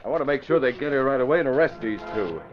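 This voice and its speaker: An actor playing an urgent, decisive masked crimefighter in an old-time radio drama. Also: male voice, mid-range voice, lively intonation, racing, close to an everyday voice. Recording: dull old radio recording, loud music.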